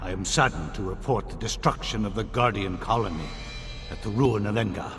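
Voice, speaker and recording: An actor playing a solemn, formal servant of a dark god in a video game cutscene, speaking with deference. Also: male voice, on the low side, fairly quick, lightly acted. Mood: solemn, deferential. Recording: cavernous long reverb over music.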